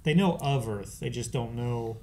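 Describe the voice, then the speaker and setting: A man's voice: mid-range voice, normal speed, darkish tone, a little hiss or hum, poor audio. A man in his thirties with an American accent, chatting casually and bluntly as a show host. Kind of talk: casual chat. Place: indoor studio with set shelves.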